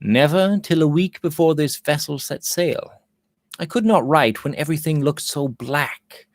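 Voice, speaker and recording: A man's voice: a little high, measured pace, no echo, studio quality. A man reading the part of a brooding, agitated gold prospector in an audiobook, with dramatic, impassioned delivery. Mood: earnest.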